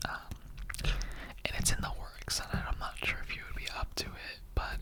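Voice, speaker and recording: A performer acts out an immortal skeleton interrogator in an ASMR roleplay. The voice stays in a whisper throughout. The delivery is earnest and conversational, rambling through halting asides and repetitions. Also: close to an everyday voice. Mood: earnest, curious. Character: chatty immortal skeleton interrogator, earnestly recruiting bone donors.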